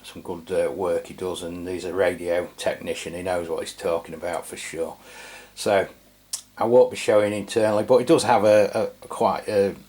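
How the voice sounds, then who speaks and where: A man's voice: low voice, fairly even intonation, moderate pace, darkish tone. A middle-aged man with an English accent, giving a casual product review in a hesitant, rambling, matter-of-fact way. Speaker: radio review channel host.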